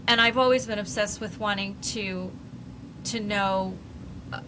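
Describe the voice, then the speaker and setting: An older woman co-hosting a podcast in casual chat, rambling and often pausing to search for words. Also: medium pitch, steady tone. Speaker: podcast co-host. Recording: faint background noise.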